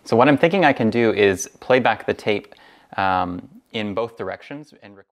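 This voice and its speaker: Young man with a low voice. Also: animated, moderate pace. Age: young adult. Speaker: hobbyist host demonstrating tape transfer. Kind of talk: casual tutorial vlog talk. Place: small furnished rooms at home, indoors.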